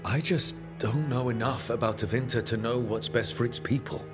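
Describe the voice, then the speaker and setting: A man's voice: medium pitch, normal speed, natural voice. A man playing a level-headed adventurer hero in a fantasy game, sincere and questioning. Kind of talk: dramatic fantasy game acting. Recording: music under the voice.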